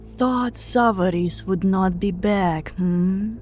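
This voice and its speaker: Woman playing a slow, flirtatious harpy queen. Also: medium pitch, a little mellow, natural voice. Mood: purring, sly. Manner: purring, third-person broken English. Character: flirtatious, short-tempered harpy queen with dangerous edge. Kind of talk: fan audiobook character acting. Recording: background music under the voice.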